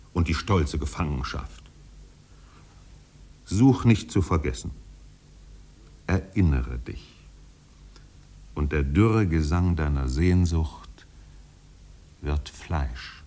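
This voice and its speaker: Deep, dark-voiced solemn narrator. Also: male voice, expressive, full character voice. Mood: solemn, urgent. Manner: measured, poetic, solemn delivery with drawn-out phrases. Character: melancholy male narrator, lyrical and solemn, recalling islands. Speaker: narrator of a radio play. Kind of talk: 1950s German literary radio drama narration. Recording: 1950s radio studio production.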